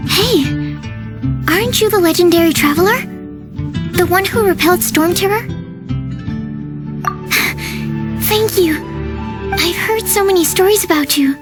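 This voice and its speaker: A woman's voice: extremely high pitch, normal speed, long pauses. An actress playing a shy, bookish young female alchemist in a video game, hesitant, apologetic and earnest. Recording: game music behind the voice. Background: background music.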